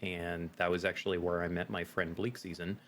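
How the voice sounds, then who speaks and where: A man's voice: sits low, little pitch movement, brisk, a bit bright, a little hiss or hum. A middle-aged man with an American accent, talking casually as a guest in an interview. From United States. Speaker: podcast guest, game master.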